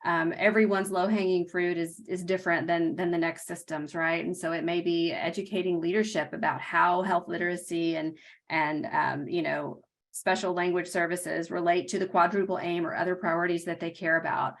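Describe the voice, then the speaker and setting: Low-voiced woman explaining practically. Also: fairly even intonation, moderate pace, gravelly. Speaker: health literacy panelist. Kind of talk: panel discussion.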